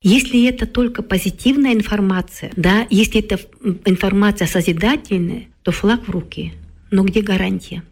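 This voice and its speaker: A woman's voice: mid-range voice, moderate pace, a little mellow, slightly rough. A middle-aged woman speaking as a guest expert on a talk show, reflective and hesitant, often checking in with her listener. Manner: reflective, many fillers and "да?" tags. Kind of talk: studio talk-show conversation.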